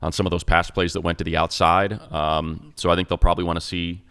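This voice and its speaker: Low gravelly announcer guy, lively, jokes around with the guest. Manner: energetic, quick to jump in and joke. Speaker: radio play-by-play announcer, co-host. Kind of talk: sports talk podcast.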